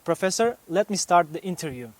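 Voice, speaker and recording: A man's voice: sits high, lively intonation, brisk. A man interviewing a visiting professor, asking questions politely and encouraging him along. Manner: polite, encouraging, frequent backchannel. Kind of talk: university interview.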